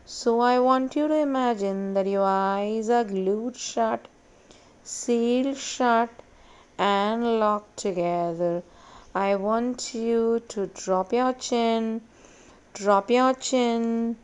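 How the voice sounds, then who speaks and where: Very slow female meditation guide. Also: mid-range voice, a little mellow, smooth. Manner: slow, measured, repetitive instructions with long pauses. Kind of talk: guided meditation, hypnotic induction.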